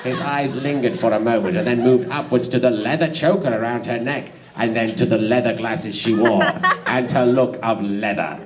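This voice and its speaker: A man's voice: medium pitch, moderate pace, crowd noise. A man narrating comic fan fiction in a theatrical, giggly way, prone to breaking up and tossing in asides.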